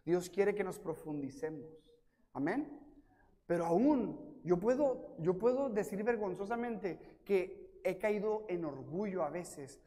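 A man from Mexico with a Mexican accent, preaching a sermon as pastor, telling self-mocking stories and acting out quotes in a lively way. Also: high voice, slow. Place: church. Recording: reverberant church hall.

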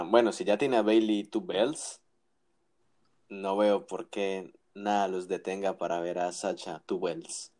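A man's voice: low voice, darkish tone. A man co-hosting a casual wrestling chat, giving opinions with frequent restarts and tag questions. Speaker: wrestling podcast co-host.

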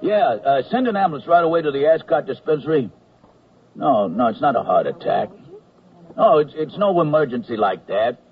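An actor playing a stubborn, officious army medical sergeant with gruff, slangy talk in a radio comedy drama. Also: male voice, a little high, very animated, normal speed. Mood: exasperated. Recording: dull, band-limited radio recording.

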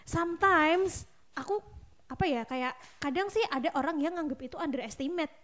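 A woman's voice: high voice, normal speed, a bit bright. A woman with a Javanese accent, hosting a casual discussion and explaining things to listeners conversationally. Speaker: podcast discussion host.

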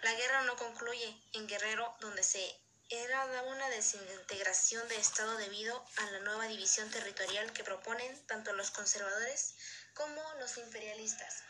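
A woman's voice: on the high side, steady tone, moderate pace, sharp tone, slight background noise, poor audio. A woman presenting in a formal way, reading scripted educational narration aloud.

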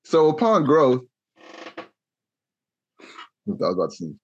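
A young adult man from Kansas telling a long, animated story in a casual podcast chat, acting out the voices he quotes. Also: mid-range voice, slow pace, highs cut off, slight background noise. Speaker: podcast co-host.

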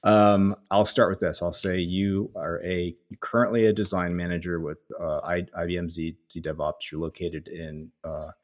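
A man's voice: deep voice, level tone, moderate pace, hardly any pauses. A man hosting a casual chat, loose and jokey, often repeating words as he goes. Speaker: host.

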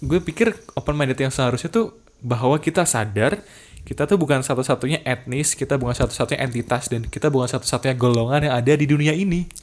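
A man's voice: medium pitch, fast talker. A man, chatting casually, slipping English phrases into Jakarta slang. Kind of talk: casual chat.

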